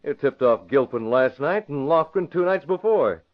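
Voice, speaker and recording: Actor as confident young radio hero, swinging delivery. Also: male voice, on the high side, animated, close to an everyday voice. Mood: firm, accusing. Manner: brisk, confident, confrontational. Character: bold, cool-headed young male newspaper publisher and sleuth. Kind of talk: old-time radio drama acting. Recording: muffled vintage radio broadcast.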